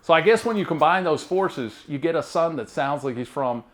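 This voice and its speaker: This is a man in his thirties with a drawl that sounds like the American South, though he grew up near Baltimore. His voice sits a little high and moves through a lively, wide range. He tells self-deprecating stand-up jokes in a deadpan way. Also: fairly quick. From Maryland, near Baltimore. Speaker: stand-up comedian. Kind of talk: stand-up comedy set.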